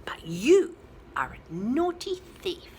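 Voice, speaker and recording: A woman's voice: high voice, sing-song, slow pace, dark tone, a little hiss or hum, exaggerated voice. A woman voicing a big, brave, naive young chick for a children's storybook. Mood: firm.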